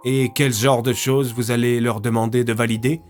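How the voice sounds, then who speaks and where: A man's voice: mid-range voice, level tone, moderate pace, faint music behind, natural voice. A man playing a sarcastic, distrustful police inspector in an audio drama, with a dry, blunt way of talking. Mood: wary. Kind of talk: French audio fiction acting.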